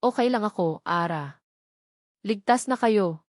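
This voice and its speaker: A woman's voice: medium pitch, lively intonation, a little slow, no echo, studio quality, natural voice. A woman with a Filipino accent voicing a devoted, earnest man in love for a romance story. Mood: reassuring.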